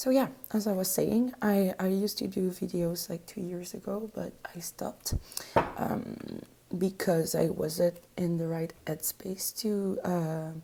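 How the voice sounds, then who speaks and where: Soft, gravelly French-accented woman. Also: lowish voice, fairly even intonation, measured pace. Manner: hesitant, searching for words with many fillers. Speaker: tarot reader. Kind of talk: spoken tarot reading.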